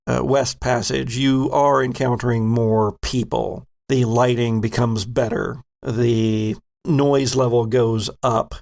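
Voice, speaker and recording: A man running a tabletop game as game master, setting scenes with deliberate narration and frequent fillers. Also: medium pitch, vocal fry, a little mellow.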